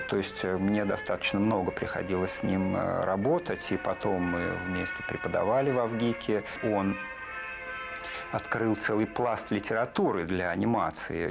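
A man in his forties giving a reflective documentary interview in a halting, hesitant manner. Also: sits low, creaky. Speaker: animation film artist and director interviewed. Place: indoor room with film set behind, seated. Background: music.

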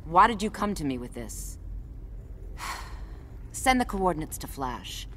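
A woman's voice: sits low, big pitch swings, takes it slowly, fairly bright tone, slight background noise, full character voice. An actress playing a proud, imperious female Amazon warrior in a video game, speaking brusquely. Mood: impatient. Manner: brusque, impatient, haughty. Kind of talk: video game cutscene acting.

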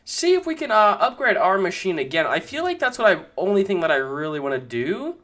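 A young man casually commenting on his own gameplay, chatty and excitable, talking to his viewers. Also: high voice, fairly quick. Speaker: gaming YouTuber.

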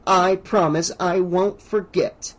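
A man voicing an excitable, boastful teenage boy who talks eagerly and pleads. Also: high voice, takes it slowly, voice pushed up, full character voice. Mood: reassuring.

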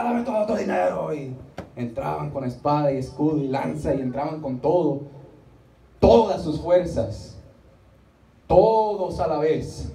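A middle-aged man preaching to a congregation, emphatic and repetitive, drawing out key words and pausing for responses. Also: a little high, warm tone. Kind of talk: Spanish-language church sermon with rhetorical repetition and acted asides. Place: small church meeting room, indoors. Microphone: handheld microphone, recorded from the seats. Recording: roomy, distant audience recording with faint noise.

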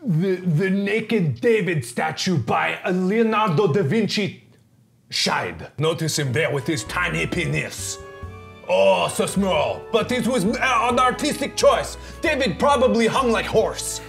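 A man's voice: sits high, expressive, takes it slowly, vocal fry, fairly bright tone, faint music behind, heavily acted. A man playing a pompous, theatrical French artist host, in a mock French accent with broken English. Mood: grandiose. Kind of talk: comedic art show hosting.

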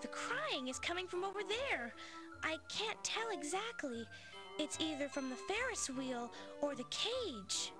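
A plucky, sensible little girl puppet from a children's game. The voice is extremely high and melodic, slowly paced, over music. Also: very animated. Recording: music under the voice.

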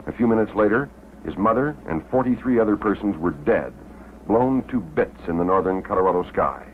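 An American man narrating a 1950s promotional documentary in a measured, deliberate way with dramatic pauses. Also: on the low side, animated, slight background noise. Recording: tinny old film soundtrack, faint music.

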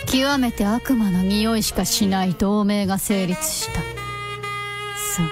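A woman's voice: mid-range voice, measured pace, music in the background. A woman narrating a radio drama from a script.

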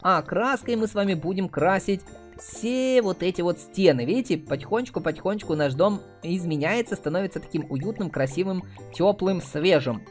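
A man with a high voice gives casual, chatty commentary as he plays mobile games. He talks straight to his viewers as their host. Light music plays behind his voice. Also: moderate pace. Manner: chatty, uses cute diminutives. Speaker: host of mobile game playthroughs.

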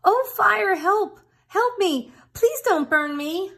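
Brief: eager one-legged rooster, high and pleading, woman acting it out, storybook. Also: animated, moderate pace, husky. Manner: high-pitched, pleading cries. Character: small half rooster, male, plucky and eager.

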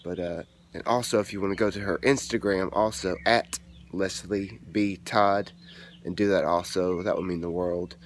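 A man's voice: deep voice, steady tone, normal speed. Brief: guy in his thirties, sinus-congested, friendly handheld vlog chat, light background noise. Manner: friendly, chatty, thanks viewers often. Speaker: family vlogger. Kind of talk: casual vlog talk to camera. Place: outdoors in a front yard, then indoors at home. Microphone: phone or camera held at arm's length.

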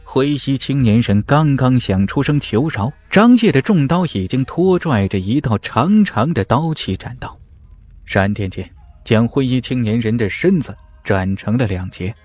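A man's voice: medium pitch, lively intonation, moderate pace, darkish tone. Man narrating dramatically, swooping intonation over music. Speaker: audiobook narrator. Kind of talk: dramatic scripted narration of a fantasy martial arts novel.

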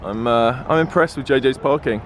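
A young man vlogging a road trip, his voice going hoarse, clearing his throat now and then, upbeat and chatty. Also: medium pitch, a little fast, very noisy. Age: young adult. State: losing his voice. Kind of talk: casual travel vlog. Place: in an RV and out on city streets. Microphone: handheld camera at arm's length.

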